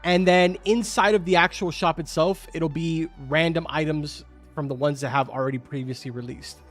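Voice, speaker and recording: A man's voice: a little high, fairly even intonation, moderate pace. A young man whose first language is Spanish, chatting casually with his live-stream viewers, answering their questions with lots of filler words. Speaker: game streamer. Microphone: studio microphone. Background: faint game music.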